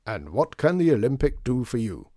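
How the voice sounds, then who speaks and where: A man's voice: mid-range voice, very animated, moderate pace, warm tone, no echo, studio quality. A man voicing a big, blustering shopkeeper in an audiobook, theatrical and bellowing with forced cheer. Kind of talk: audiobook storytelling.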